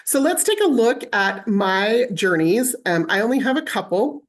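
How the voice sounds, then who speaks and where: A woman's voice: fairly high pitch, big pitch swings, moderate pace. A woman presenting an online webinar, explaining things casually with everyday examples, fillers and asides. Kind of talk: conversational online teaching.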